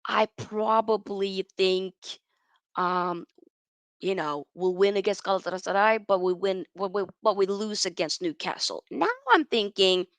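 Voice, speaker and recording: A young woman from Norway hosts a live football chat stream, reading viewer comments and reacting in a loose, slangy way with plenty of restarts. Her voice sits at a middling pitch and is recorded close and dry, with no room sound. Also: measured pace, clean tone, dry recording. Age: 20s. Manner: animated, chatty, many restarts and slang. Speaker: football channel host.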